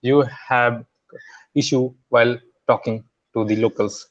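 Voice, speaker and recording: A man's voice: mid-range voice, fairly even intonation, measured pace, lo-fi sound. A man from India with an Indian accent, practicing English in a casual video-call conversation, friendly and hesitant with frequent restarts. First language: Hindi. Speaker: English learner.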